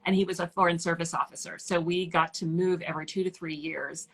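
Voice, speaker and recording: Animated, talkative woman with a bright voice. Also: fairly low pitch, fairly quick. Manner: animated and talkative, many "like" fillers. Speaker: author and illustrator guest. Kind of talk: casual live-stream interview. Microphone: video call.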